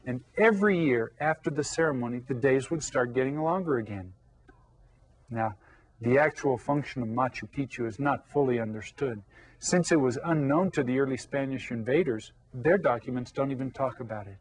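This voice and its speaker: A man's voice: mid-range voice, low-quality recording. A man teaching a Spanish lesson to young children, explaining patiently and repeating key words. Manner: patient, explains slowly with repetition.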